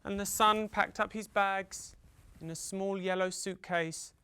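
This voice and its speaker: A man from the UK telling a folktale theatrically for children, with dramatic pauses, repetition and drawn-out emphasis. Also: sits high, fairly even intonation, pushed and bright, smooth. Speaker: storyteller.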